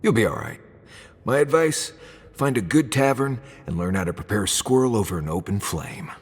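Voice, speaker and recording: An actor playing an easygoing, wry adventuring companion in a video game, dry and casual. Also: male voice, fairly low pitch, animated, moderate pace, lightly acted. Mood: reassuring, wry. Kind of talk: video game cutscene dialogue. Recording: long echoing reverb, music behind. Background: game music.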